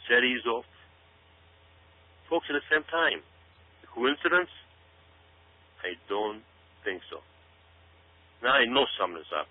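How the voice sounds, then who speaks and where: A man's voice: medium pitch, sing-song, measured pace, long pauses. Man on tinny phone-like audio, emphatic commentary. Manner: emphatic, urgent, repeats phrases, rhetorical questions. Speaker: guest commentator. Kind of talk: conspiracy commentary on a talk show.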